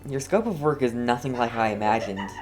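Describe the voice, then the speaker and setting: A man's voice: medium pitch, lively intonation, fairly quick, music in the background. A man giving casual let's play commentary, reacting to the game in a chatty, offhand way. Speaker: game streamer.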